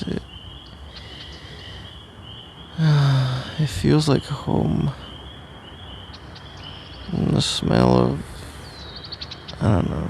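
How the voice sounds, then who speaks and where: A man's voice: mid-range voice, gravelly, darkish tone, slight background noise, heavily acted. A man playing a sleepy, cuddly husband in an intimate ASMR roleplay, drowsy, affectionate and rambling, with playful melodrama. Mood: tender, content.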